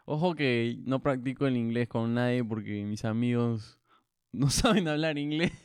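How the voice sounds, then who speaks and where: A young man co-hosting a podcast in casual banter, teasing his partner, cursing and firing off quick jokes. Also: medium pitch, darkish tone, smooth, dry recording, clean studio sound. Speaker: podcast co-host.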